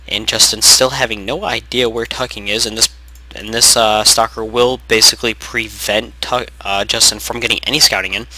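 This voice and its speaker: A young man doing casual play-by-play commentary on a strategy game, losing his voice from sickness, rambling and correcting himself, clearing his throat often. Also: on the low side, pushed and bright, low-quality recording. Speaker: game caster.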